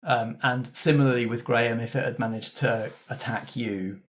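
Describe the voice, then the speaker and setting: A man's voice: mid-range voice, normal speed, slight background noise. A man talking as a game master in a casual backstage discussion, explaining things thoughtfully. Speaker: game master of a tabletop roleplay show.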